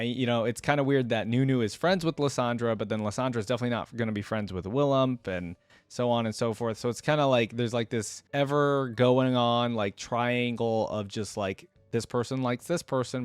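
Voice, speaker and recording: A man's voice: mid-range voice, clean tone, no echo. A man giving casual, off-the-cuff commentary as a reaction video host, thinking aloud as he goes.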